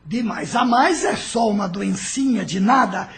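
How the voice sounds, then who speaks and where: Espírito maligno agudo e debochado. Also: male voice, sits high, expressive, unhurried. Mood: bossy scheming. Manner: mocking, manipulative, theatrical. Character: domineering, scheming male evil spirit, sly and vengeful. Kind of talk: audio drama acting.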